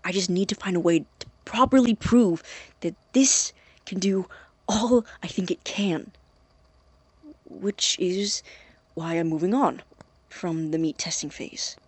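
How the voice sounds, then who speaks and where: An actor playing a secretive, obsessive young scientist who records experiment logs in a halting, deliberate, formal way, as in an audio drama. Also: male voice, high voice, breathy edge, no room sound. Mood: conflicted, driven. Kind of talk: dramatic audio-diary monologue.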